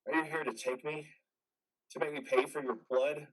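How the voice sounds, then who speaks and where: A man playing an exhausted, guilt-ridden army veteran in a dramatic play reading, halting and husky. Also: on the high side, steady tone, close to an everyday voice, rough recording. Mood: pleading, resisting. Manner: haunted, halting, confessional.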